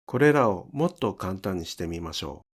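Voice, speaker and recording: A man's voice: sits low, expressive, moderate pace, husky. A man giving scripted instructional narration as a pronunciation teacher, enunciating carefully step by step.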